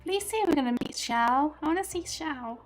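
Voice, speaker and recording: A woman's voice: high voice, expressive, normal speed. A woman giving casual reaction commentary as she streams a game. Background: game music.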